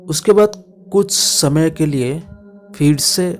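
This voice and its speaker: Very slow male horror storyteller over music. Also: fairly high pitch. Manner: halting delivery in short broken phrases, sometimes hushed. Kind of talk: dramatic first-person horror narration. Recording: music bed behind the voice.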